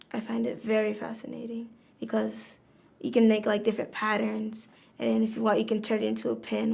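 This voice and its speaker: Casting: chatty student girl, fairly high voice, gravelly fry. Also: darkish tone. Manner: chatty, acts out quotes. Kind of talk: casual interview answer.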